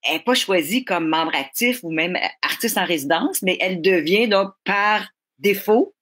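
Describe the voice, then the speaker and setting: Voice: mid-range voice, lively intonation, normal speed, a bit bright. A panel guest with a Québécois French accent, talking casually and stammering over repeated words.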